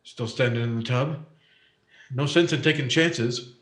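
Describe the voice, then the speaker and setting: A man's voice: mid-range voice, a little slow, fairly bright tone, natural voice. An actor playing a cool, sarcastic gunman holding a hostage, with a smug tough-guy drawl in 1950s radio drama style. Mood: sneering. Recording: roomy echo.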